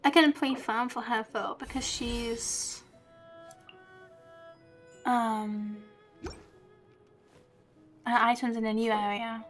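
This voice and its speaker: Slow-paced, higher-voiced woman streamer. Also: level tone, a bit bright. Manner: chatty, giggly. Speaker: game streamer, host. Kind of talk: casual live gameplay commentary. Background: game music.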